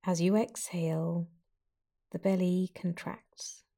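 A woman leads a guided breathing meditation in a mellow, slightly low voice. She gives slow, soft, soothing instructions and leaves long pauses between them. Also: level tone.

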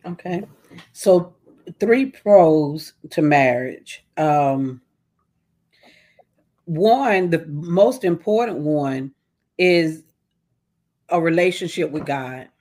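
A woman, a talk show guest, giving measured, preacher-like marriage advice point by point. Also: sits low, lively intonation, a little mellow. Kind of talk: casual interview answers.

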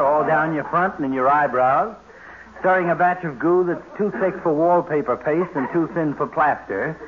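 A man's voice: on the high side, natural voice. A man playing a droll, long-winded, sardonic doctor in old radio comedy, delivering mock-polite insults. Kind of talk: 1940s radio comedy acting. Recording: tinny noisy old radio recording.

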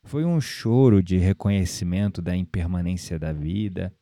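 An actor playing a gentle, earnest spiritual confidant with a Brazilian accent in an audio drama. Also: male voice, deep voice, normal speed. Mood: moved, reflective.

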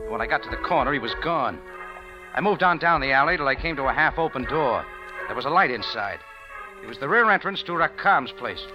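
A man plays a tough cafe owner narrating a vintage radio mystery. His mid-pitched voice is clipped and sardonic, with clear music behind it. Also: lightly acted, rough recording. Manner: hard-boiled, clipped, sardonic. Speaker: lead actor and narrator. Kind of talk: 1940s radio acting, scripted narration.

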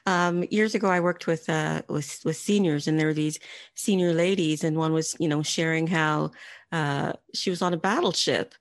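A woman hosting a casual interview, phrasing her questions haltingly. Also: low voice, steady tone, no echo, studio quality. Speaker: interview host.

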